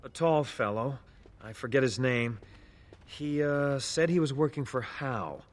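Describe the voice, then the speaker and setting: An actor playing a nervous, evasive hired spy in a video game, defensive and stammering. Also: male voice, mid-range voice, a little slow, natural voice. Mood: anxious, explaining.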